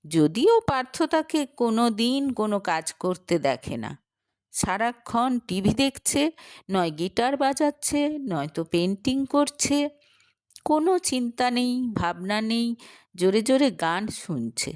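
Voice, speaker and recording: A woman narrates a romantic short story from a script in a fairly high voice. Her pitch moves in lively, wide swings while the pace stays somewhat unhurried. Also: no echo, clean studio sound.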